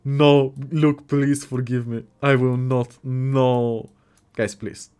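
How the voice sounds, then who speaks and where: A man's voice: mid-range voice, little pitch movement, slow, darkish tone, clear, resonant tone. A young man hosting a casual livestream, chatty and jokey as he reacts to viewers' messages. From Bulgaria. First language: Bulgarian. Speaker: livestream host. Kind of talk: casual livestream chat, reading chat aloud.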